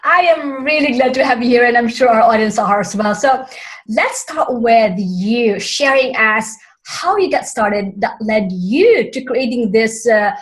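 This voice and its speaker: Filipina host, non-native English, high-ish voice, halting and effusive with restarts. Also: female voice, low-quality recording. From Philippines. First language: not English. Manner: searching for words, frequent restarts. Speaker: interview host. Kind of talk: online summit interview.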